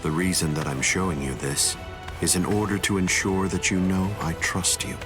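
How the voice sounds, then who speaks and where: A man playing a calm, commanding villain leader in an anime game, speaking in a measured, courteous, softly persuasive way with quiet menace. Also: low voice, natural voice. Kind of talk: anime game cutscene dialogue. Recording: dialogue over clear background music.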